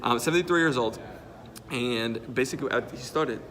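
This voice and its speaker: A man's voice: mid-range voice, moderate pace, fairly bright tone. A man from the Dominican Republic, a native Spanish speaker with a Dominican Spanish accent, coaching emphatically and repeating key points. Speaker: digital marketing host. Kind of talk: conversational coaching.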